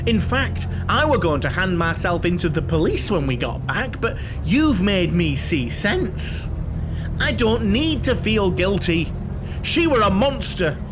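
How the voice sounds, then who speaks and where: A man's voice: high-pitched, background noise. A man playing a chatty, cheerfully sinister holidaymaker with a Northern English accent, gushing and guileless, in an audio drama. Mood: grateful, earnest.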